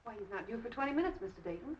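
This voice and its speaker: An actress playing a brisk, polite office secretary in a 1930s film. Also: female voice, on the high side, sing-song. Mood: bright, polite. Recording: dull 1930s film soundtrack.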